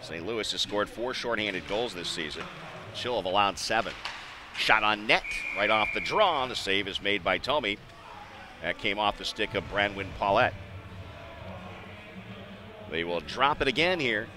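An American man doing live radio-style play-by-play hockey commentary, measured in routine play and excitable on big plays. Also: on the low side. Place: indoor ice arena. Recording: noisy live rink broadcast.

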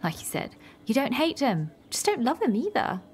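A woman's voice: sits high, huge pitch swings, moderate pace, darkish tone, slight background noise. Blunt, sardonic woman, lightish voice. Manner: terse, sardonic. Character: blunt, grudge-holding woman, partner at home. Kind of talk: radio soap opera acting.

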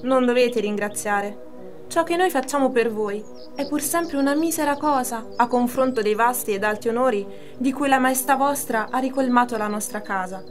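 A woman's voice: on the high side, normal speed, soft background music, natural voice. An actress playing an ambitious, scheming, commanding noblewoman in an Italian radio drama, theatrical and formal. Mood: gracious, deferential.

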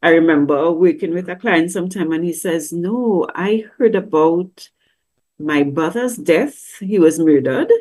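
A woman's voice: fairly low pitch, unhurried, a little mellow. A middle-aged woman with a lilt speaks on a webcam call from an indoor room. She teaches in an easy, conversational way, asks a string of questions, and now and then slips into the voices of people she quotes. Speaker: grief counselor teaching at a church service. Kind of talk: teaching talk with slides. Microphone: webcam on a video call.

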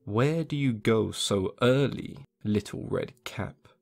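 Storybook wolf, sly and slow-spoken, gravelly and low; a man reads it. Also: darkish tone, exaggerated voice. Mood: coaxing. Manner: slow, drawn-out coaxing. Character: sly hungry male wolf, crafty and smooth.

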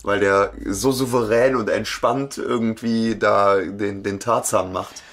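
Husky-voiced man in casual chat. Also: fairly low pitch, fairly even intonation, a little slow. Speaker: podcast co-host.

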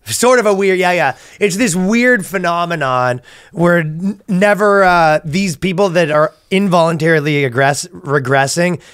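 A man's voice: sits high, measured pace, a bit bright, clear, resonant tone, dry recording, clean studio sound. A man in his thirties hosting a comedy podcast, riffing casually and profanely and acting out imagined dialogue. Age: 30s. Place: small podcast studio. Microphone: close studio mic on boom arm.

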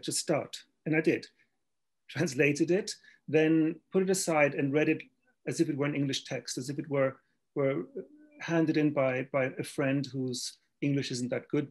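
A man's voice: mid-range voice, gravelly, darkish tone, husky. A man whose first language is German, speaking as a translator on a panel video call, hesitant and repeating words as he thinks.

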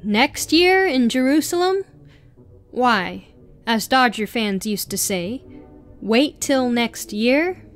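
Female reader, fairly high voice, very slow deliberate article narration over clear background music. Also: animated. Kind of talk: reading an article aloud.

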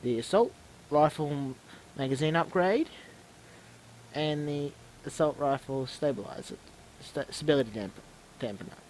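A man's voice: a little high, lively intonation, unhurried, darkish tone, rough recording. A man with a stuffy, snuffly voice from a cold gives casual commentary on his own video game match. He often rambles and stops to correct himself. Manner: rambling, self-correcting, restarts words.

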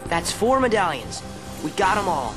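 A man's voice: high voice, very animated, moderate pace. Plucky American teenage boy hero. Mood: earnest, reassuring. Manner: youthful, upbeat, determined. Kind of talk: video game cutscene acting. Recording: game music underneath.

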